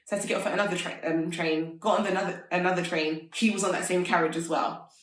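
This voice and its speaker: A woman's voice: lowish voice, steady tone, fast. Chatty woman in a roomy space. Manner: chatty, many filler "like"s, upbeat. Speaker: interview guest, fashion entrepreneur. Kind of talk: casual conversational interview. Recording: roomy echo, mic at a distance.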